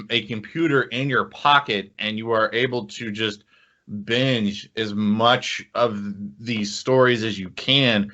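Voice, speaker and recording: A man's voice: medium pitch, fairly bright tone, dull audio. A man co-hosting a podcast in casual chat, talking emphatically and rambling as he repeats phrases. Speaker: podcast co-host.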